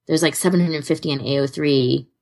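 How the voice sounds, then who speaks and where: A woman's voice: low voice, fairly even intonation, a little fast, a little mellow. A woman co-hosting a podcast in casual, conversational chat. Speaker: podcast co-host.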